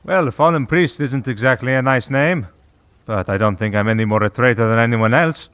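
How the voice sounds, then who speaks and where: A man playing a centuries-old vampire priest in an audio roleplay, calm, wry and merciful, talking in a dry, unhurried, politely sardonic way. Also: medium pitch, no echo, close to an everyday voice. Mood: wry, confident. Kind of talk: scripted audio roleplay, ASMR drama.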